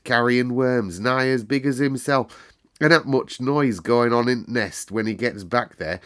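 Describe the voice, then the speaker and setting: A man acts out a twelve-year-old Yorkshire country boy from a storybook. He is gentle and earnest, speaking broad dialect with open wonder. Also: mid-range voice, voice pushed up, close to an everyday voice.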